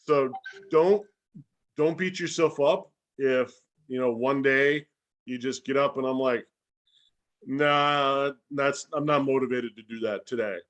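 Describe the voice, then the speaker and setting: Male guest educator, medium pitch, casual and folksy explainer who voices imagined dialogue. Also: measured pace. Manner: animated, acts out imagined dialogue. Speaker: guest speaker and science educator.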